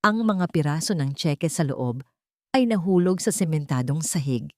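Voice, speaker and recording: A woman's voice: sits low, animated, normal speed, no echo, clean studio sound. A woman reading a scripted romantic drama story as its narrator. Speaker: narrator of audio romance stories.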